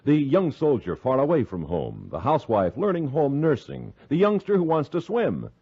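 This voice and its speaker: Male vintage radio announcer, gravelly and husky, hugely animated, emphatic appeal delivery, dull old recording. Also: medium pitch, moderate pace. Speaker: public service announcer. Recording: muffled 1950s radio recording.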